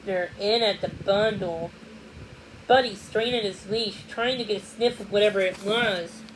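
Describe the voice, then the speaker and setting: A woman reading a children's storybook aloud as narrator, somewhat haltingly, sometimes repeating or correcting a word. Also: mid-range voice, a little hiss or hum, rough recording. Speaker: read-along narrator.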